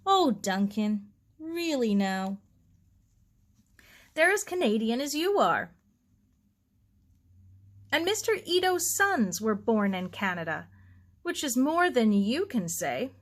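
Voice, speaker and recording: Woman as a brisk, kindly storybook aunt. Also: on the high side, sing-song. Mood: calm chiding. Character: calm, sensible middle-aged aunt, kindly but brisk, gently teasing.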